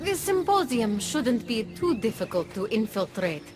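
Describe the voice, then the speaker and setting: A woman's voice: on the high side, very animated, moderate pace. Cool, sly female conspirator over music. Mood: dry, scheming. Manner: dry, condescending, controlled. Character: cool, sly woman plotting poisonings as a rebel ally. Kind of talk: video game cutscene acting. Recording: music behind the voice.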